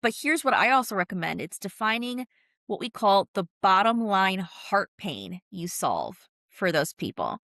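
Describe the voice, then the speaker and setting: Woman, interview guest, bright forceful voice, emphatic delivery, conversational, close dry sound. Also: mid-range voice, big pitch swings, a little slow, creaky, dry recording. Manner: emphatic, punchy, uses vivid colloquial asides. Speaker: guest, brand consultant and author.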